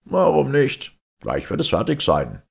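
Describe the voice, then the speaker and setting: A man's voice: medium pitch, wildly swooping pitch, measured pace, gravelly, breathy edge, pitched above the performer's own voice, exaggerated voice. A man voicing an obliging, nonchalant invisible servant spirit in a fairy tale.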